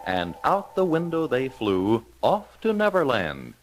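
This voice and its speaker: A man's voice: medium pitch, wildly swooping pitch, measured pace, loud music behind the voice. A man narrating a scripted children's story as the storybook narrator.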